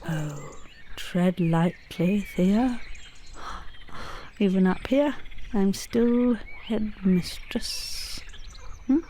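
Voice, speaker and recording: A woman playing a flustered, evasive yet imperious headmistress, halting and hedging in an audio comedy drama. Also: mid-range voice, mellow, a little hiss or hum. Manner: halting, hedging, breezily authoritative.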